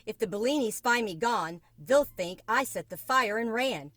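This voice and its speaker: An earnest young cricket from a children's storybook, voiced by a woman reader. He speaks with quiet resolve and loyalty, in a dry, close recording. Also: fairly high pitch, lively intonation, normal speed, no room sound, pitched above the performer's own voice. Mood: resolute.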